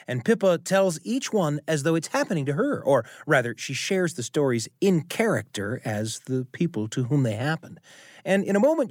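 A man's voice: fairly high pitch, darkish tone, dry recording, clean studio sound. A man hosting a radio story hour, reading a scripted introduction and stretching out key words for emphasis. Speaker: radio show host.

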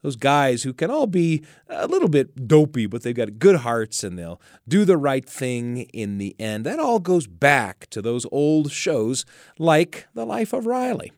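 A man hosting a radio storytelling show, speaking with expressive, theatrical emphasis. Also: medium pitch, unhurried, no room sound, studio quality. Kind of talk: storytelling radio presenting and chat.

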